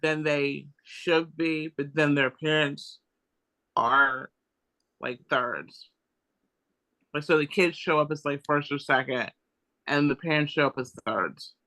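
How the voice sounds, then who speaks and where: A man talks casually as a participant in a group discussion. His voice is fairly high and very bright. He goes slowly and haltingly, thinking aloud as he speaks. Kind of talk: casual chat.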